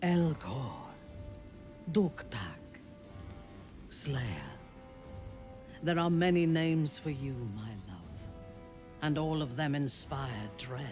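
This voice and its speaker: Game role: fierce female dark elf commander, very low for a woman, slow and seductive, swooping intonation. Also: animated, faint music behind. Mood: adoring. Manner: imperious, seductive, deliberate. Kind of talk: video game character acting.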